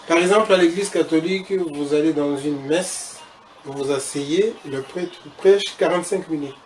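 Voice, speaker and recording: A man's voice: on the high side, normal speed, a little mellow, a little hiss or hum. A man from Congo, a guest recounting his past in the church, speaking hesitantly and restarting phrases. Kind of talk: personal testimony in conversation.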